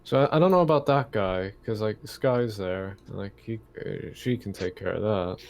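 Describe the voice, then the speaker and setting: A man's voice: fairly low pitch, normal speed, gravelly, a little mellow. A man chatting casually as a player in an online tabletop roleplaying game. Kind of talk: casual chat during online gaming. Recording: faint background noise on voice call.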